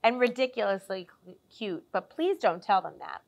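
A woman's voice: mid-range voice, animated, normal speed, lightly acted. A woman voicing a proud mother bulldog. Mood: fond. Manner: fond, reassuring motherly tone. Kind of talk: storybook character voice.

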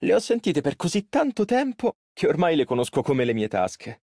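A man's voice: a little high, huge pitch swings, normal speed, a little mellow, no echo, studio quality, exaggerated voice. An actor playing a polite, earnest and easily flustered young male tailor in an Italian game dub. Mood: calm, reflective. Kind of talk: video game character voice lines, Italian dub.

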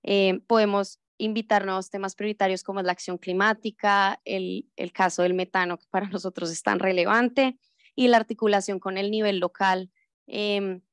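A woman moderates a conference panel with a smooth, clear voice that stays fairly flat. The sound is dry and close, and her delivery is friendly and organized, with small fillers. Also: mid-range voice, moderate pace, no echo.

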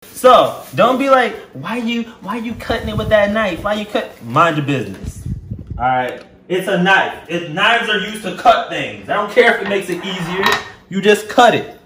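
A man's voice: high-pitched, moderate pace. A man in his twenties with a Southern US accent, talking playfully and sassily to viewers in casual slang as he hosts a home cooking vlog. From Louisiana, United States. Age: 20s. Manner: playful, sassy, animated, slangy. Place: indoors in a home kitchen. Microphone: camera a step or two away. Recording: echoey kitchen, some background noise.

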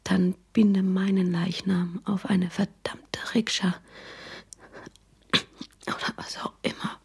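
An actress playing a fierce, loving dying mother in her thirties in a radio drama, whispering weakly and haltingly. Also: medium pitch, level tone, darkish tone, heavily acted. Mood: defiant. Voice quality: breathy, whispering. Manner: weak, halting, defiant.

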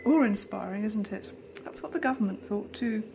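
A woman's voice: medium pitch, moderate pace, creaky, close to an everyday voice. A woman playing a wry, cynical scientist aboard a spaceship in a science-fiction audio drama, with a dry, sardonic manner. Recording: noisy amateur 1980s audio drama tape.

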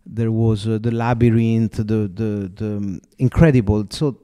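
A man with an Italian accent hosting a podcast interview, halting and searching for words as he asks questions. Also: fairly low pitch, steady tone, mellow, smooth. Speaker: host.

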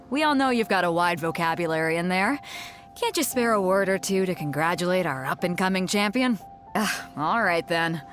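An actress voicing a bold, confident female sea captain who organizes a fighting tournament and teases her companions, in a game cutscene with music behind her. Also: a bit bright. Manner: booming announcer calls to a crowd. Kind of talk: video game voice acting.

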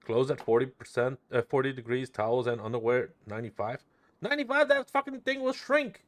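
A man's voice: mid-range voice, expressive, brisk. A man chatting casually while streaming a game, swearing freely and repeating phrases playfully. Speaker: live streamer playing a roleplay game.

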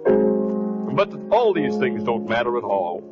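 A man's voice: mid-range voice, big pitch swings, slow, loud music behind the voice. A man playing a jolly, sentimental old Santa Claus in a 1940s radio musical piece, on a muffled vintage recording.

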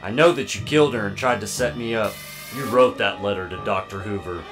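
A man's voice: on the low side, brisk, music in the background, natural voice. A man playing a cold, taunting, vengeful android in an audio drama. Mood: accusing. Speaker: voice actor.

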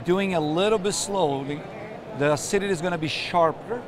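A man giving a quick, instructive explanation to visitors at a trade show, caught on the camera mic from a few feet away in a large hall. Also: sits high, a crowd in the background. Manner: quick, instructive, pointing things out. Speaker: coffee expert demonstrating. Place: large indoor expo hall. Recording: noisy trade show floor.

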